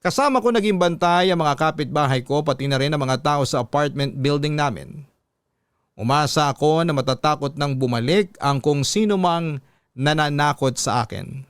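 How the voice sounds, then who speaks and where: A man with a Filipino accent reading a listener's story as dramatic, measured radio narration. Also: on the high side, clear, resonant tone, no echo, clean studio sound.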